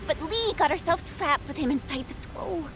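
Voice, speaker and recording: A woman's voice: sits high, lively intonation, moderate pace, music in the background. A woman playing an impassioned, loyal and impatient young heroine in a video game, speaking earnestly and emphatically. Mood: urgent.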